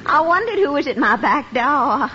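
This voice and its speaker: A fluttery, flirtatious widow, coaxing and scolding in a high, sing-song voice. The muffled sound of a 1950s radio broadcast, with faint noise behind. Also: female voice, big pitch swings, normal speed, bright, forceful tone, full character voice. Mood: coquettish. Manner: coquettish, fluttery. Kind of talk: 1950s radio sitcom acting.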